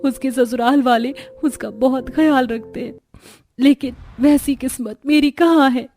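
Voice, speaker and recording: A young woman voicing an emotional married sister in a cartoon family drama, with expressive delivery. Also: high-pitched, a little slow, soft background music. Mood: wistful, troubled.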